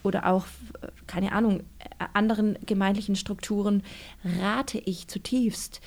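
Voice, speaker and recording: A woman author speaking in a casual interview, with a gravelly, creaky edge to her voice. She thinks aloud with many fillers and restarts and grows emphatic when she makes a point. Her pitch sits in a middle range. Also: fairly even intonation, a little slow. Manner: reflective, with frequent fillers and restarts.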